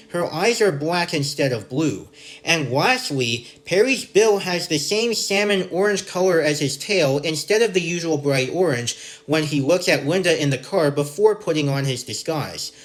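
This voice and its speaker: A man from Canada with a general North American accent, hosting a review show. He reads from his notes in a measured, list-like way, in a voice pitched a little above the usual for a man. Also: animated. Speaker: podcast host and episode reviewer. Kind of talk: scripted review narration.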